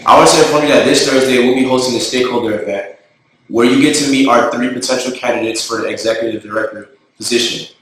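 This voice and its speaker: A man's voice: medium pitch, fast talker, fairly bright tone. Man speaking far off in an echoey room. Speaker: student body president. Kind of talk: read announcement. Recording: distant mic in a very echoey room.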